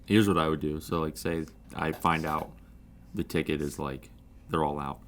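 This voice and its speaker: A man co-hosting a casual podcast, telling a story with lively acted-out voices and frequent laughter. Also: extremely low pitch, normal speed, slight background noise. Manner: animated storyteller, acts out voices, laughs often. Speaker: podcast co-host.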